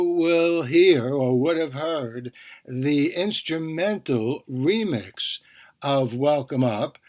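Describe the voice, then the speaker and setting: A man hosting a podcast, talking conversationally with rambling asides and self-corrections. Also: a little high. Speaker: podcast host.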